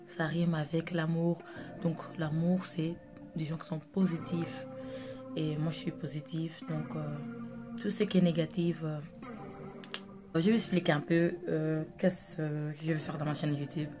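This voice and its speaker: Young woman, from Angola, native Dutch and Portuguese speaker; low, casual, filler-heavy vlog chat over a music bed. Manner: chatty, rambling, with many fillers. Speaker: makeup artist vlogger. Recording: music playing under the voice.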